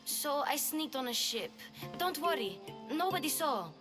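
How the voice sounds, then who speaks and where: A woman's voice: high voice, very animated, measured pace, over music. An actress playing a plucky, cheeky young Greek girl in a video game. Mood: sheepish, eager.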